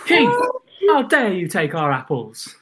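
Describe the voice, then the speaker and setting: A woman's voice: lowish voice, huge pitch swings, a little slow, a bit bright, a little hiss or hum, exaggerated voice. A woman playing an indignant, hot-headed defender, exclaiming in outrage in improv comedy.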